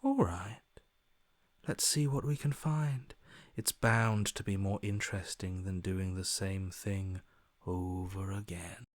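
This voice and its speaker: Cold detached game character, hushed, breathy, deadpan, bored, drawn-out sarcasm, dry close sound. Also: male voice, sits low, no room sound. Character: cold, bored, detached male inner voice, callous. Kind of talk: video game character acting.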